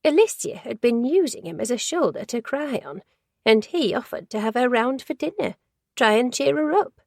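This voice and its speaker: A woman's voice: on the high side, big pitch swings, normal speed, vocal fry, darkish tone, dry recording, studio quality, voice pushed up, full character voice. Woman voicing a chatty, gossipy older office lady. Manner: chatty, rambling, easily sidetracked.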